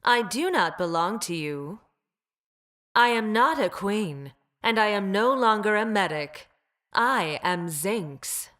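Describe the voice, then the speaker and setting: An actress playing a cold, logical engineered creature in a video game, speaking in a deadpan, precise and formal way. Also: female voice, mid-range voice, slow. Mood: detached, stern. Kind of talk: video game character voice lines.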